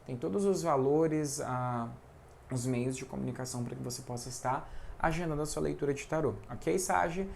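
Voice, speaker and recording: Brazilian male tarot reader with a creaky, gravelly voice, chatty and informal, faint noise underneath. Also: medium pitch, moderate pace, a little mellow. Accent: Brazilian Portuguese. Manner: chatty, with frequent tag questions. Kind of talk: casual tarot reading vlog.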